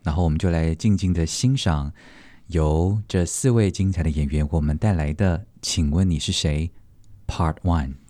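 A man with a Taiwanese Mandarin accent hosts in a fairly low voice. He is chatty and casual, slipping English words into his talk. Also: normal speed, mellow. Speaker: podcast host. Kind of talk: casual talk show hosting.

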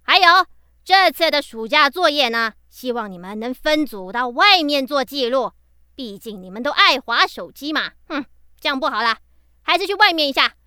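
A woman's voice: sits high, lively intonation, moderate pace, pushed and bright, no echo, clean studio sound. A woman playing a nagging, stern but caring schoolteacher in a children's audio drama. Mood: instructive.

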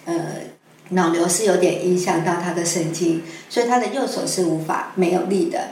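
A woman's voice: fairly low pitch, steady tone, moderate pace, darkish tone. A woman with a Taiwanese Mandarin accent, speaking as an interview guest, explains things conversationally and hesitantly, piecing her thoughts together as she goes. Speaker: guest scriptwriter. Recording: echoey room with faint noise.

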